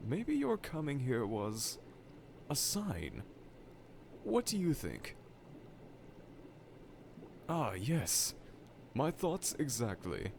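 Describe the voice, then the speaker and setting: A man's voice: medium pitch, wildly swooping pitch, extremely slow, creaky, long pauses, a little mellow, slight background noise, heavily acted. A man voicing a vain, needy middle-aged evil scientist with pompous, formal, melodramatic diction in a fan audio roleplay. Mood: hesitant, pensive. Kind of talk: fan audio roleplay monologue addressed to listener. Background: laboratory ambience.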